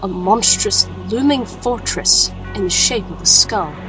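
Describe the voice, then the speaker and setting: A woman's voice: medium pitch, animated, close to an everyday voice, rough recording. Woman playing a solemn adventuring alchemist, music behind. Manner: measured, solemn storytelling. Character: grave adventuring female alchemist recounting her quest. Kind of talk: dramatic scripted first-person narration.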